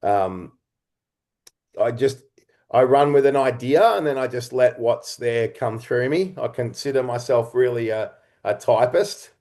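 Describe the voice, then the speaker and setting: Male interview guest, mid-range voice, creative type, thoughtful and expressive, conversational storytelling in broken phrases. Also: medium pitch, unhurried.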